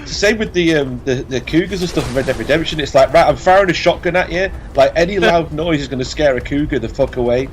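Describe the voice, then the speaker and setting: A man's voice: fairly high pitch, quick pace, hardly any pauses. British guy chatting over a game, sweary and opinionated, bit of game noise behind him. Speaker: let's play commentator. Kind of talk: casual banter over gameplay. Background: video game sound.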